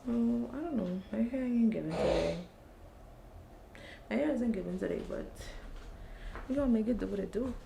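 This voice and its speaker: A young adult woman with a raspy voice chatting casually in a relaxed, slangy travel vlog. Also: mid-range voice, normal speed, long pauses, background noise. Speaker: vlogger.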